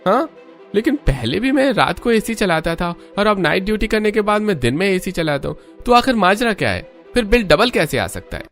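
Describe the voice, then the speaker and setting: A man voicing a trusting, puzzled young neighbour in a cartoon, expressive in manner. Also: high-pitched, a little fast, faint music behind, full character voice. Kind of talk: cartoon voice acting.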